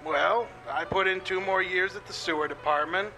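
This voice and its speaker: A man playing a blunt, folksy middle-aged working-class father in a comic radio play, grumbling and deadpan. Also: high-pitched, normal speed, soft background music, full character voice, low-quality recording. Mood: dry, blunt.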